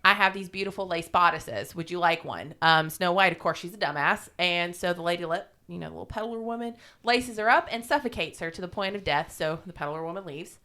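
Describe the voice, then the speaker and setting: Female podcast storyteller, irreverent and informal; lowish pitch, very clear tone. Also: a little fast, hardly any pauses, bright, forceful tone. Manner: irreverent, salty-tongued, informal. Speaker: podcast co-host. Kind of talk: casual retelling of a fairy tale.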